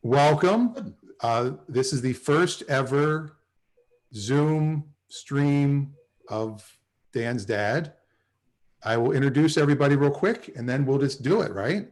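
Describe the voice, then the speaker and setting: Guy in his forties hosting a livestream, chatty intros, middle pitch, video call. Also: animated, slow pace, a little mellow, rough recording. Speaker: host and writer.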